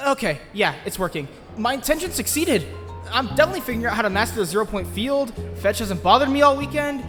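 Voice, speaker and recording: A man's voice: very high-pitched, big pitch swings, fast, a bit bright, in a large hall, soft background music, heavily acted. An actor playing an anxious, brooding, science-obsessed teenage boy in a comic dub, earnest and prone to questioning himself. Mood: brooding, determined. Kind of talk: acted comic dub with inner monologue.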